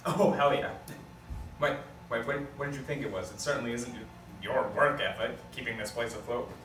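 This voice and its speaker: A man's voice: mid-range voice, normal speed, over music, natural voice. A man playing a blunt, teasing, easygoing lawyer in a comedic script read. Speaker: actor at a script table read. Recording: echoey room, distant mic, noisy.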